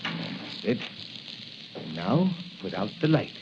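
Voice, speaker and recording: A kindly old apothecary-inventor in a noisy 1940s radio serial. He mutters slowly and earnestly to himself, with pitch that rises and sinks freely. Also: sing-song. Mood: musing, absorbed. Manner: musing, muttering aloud to himself. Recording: noisy, muffled 1940 radio recording.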